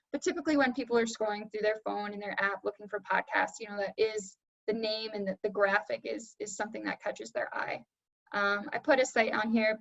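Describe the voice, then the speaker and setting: A woman with a fairly high voice moderates and presents informally. Her delivery is conversational and casual. The recording is slightly muffled and lo-fi. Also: creaky, a bit bright.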